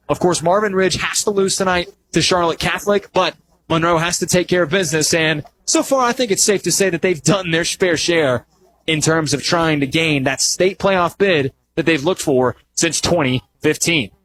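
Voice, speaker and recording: High-voiced man calling football on radio. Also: fairly bright tone, slight background noise, poor audio. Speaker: football play-by-play commentator. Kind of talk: live radio sports commentary.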